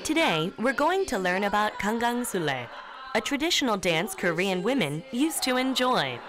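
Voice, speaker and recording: A woman reading a documentary narration in an even, formal manner. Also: medium pitch, normal speed, heavy background noise. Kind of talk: scripted narration. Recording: voice-over with music underneath.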